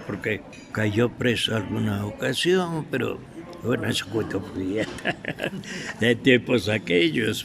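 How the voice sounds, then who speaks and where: An older man with an Ecuadorian highland accent reminisces in an interview, halting and anecdotal. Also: creaky. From Quito, Ecuador. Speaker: veteran radio theatre actor being interviewed. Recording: noisy room recording.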